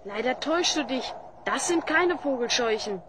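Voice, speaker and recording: An actor playing a calm, earnest young male hero from another world, patient and instructive, in a fantasy audio drama. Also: high voice, normal speed, very noisy. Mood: resolute.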